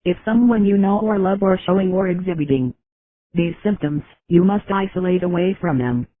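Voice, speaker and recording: A man's voice: sits high, normal speed, dry recording. A man's synthetic voice giving a formal, scripted government warning as an emergency announcer. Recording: tinny radio-like alert audio.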